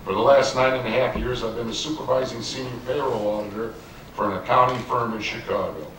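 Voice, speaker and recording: A man running for village trustee, giving a measured, businesslike prepared statement at a public forum. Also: mid-range voice, steady tone. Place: community meeting room with an audience. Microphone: handheld microphone. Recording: very echoey distant room sound, faint room noise.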